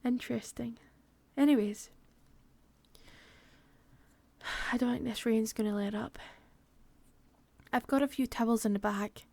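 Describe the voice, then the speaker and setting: A woman's voice: on the high side, steady tone, moderate pace, darkish tone. A woman acting a frightened young woman stranded with her partner in an improvised horror roleplay, sighing, swearing and sometimes dropping into a whisper. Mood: uneasy, subdued. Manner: breathy sighs, drops into whispers, sweary. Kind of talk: improvised ASMR audio roleplay.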